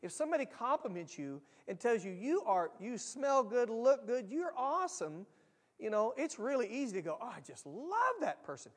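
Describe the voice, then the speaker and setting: An American man preaching a sermon to his church, earnest and repetitive, swelling loud on key lines. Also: very high voice, sing-song, normal speed, big-room sound. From United States. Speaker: pastor. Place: church sanctuary.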